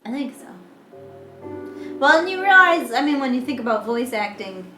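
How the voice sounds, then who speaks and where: Squeaky-voiced woman co-host, loose and chatty, in a roomy space with music behind her. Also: lively intonation, normal speed, sharp tone. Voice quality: high, squeaky voice. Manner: chatty, laughs easily, trails off and restarts. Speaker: podcast co-host. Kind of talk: casual chat.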